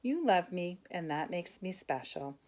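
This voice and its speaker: A woman reading a storybook as a small, humble male caterpillar, earnest and gentle in manner. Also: on the low side, big pitch swings, unhurried, a little hiss or hum. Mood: earnest, weary.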